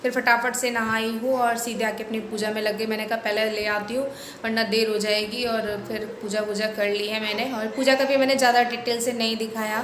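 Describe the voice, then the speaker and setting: A woman with a hoarse voice, chatting through her home chores as a vlog voice-over and explaining each step. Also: a little high, level tone, fairly quick, a bit bright, a little hiss or hum. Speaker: vlogger.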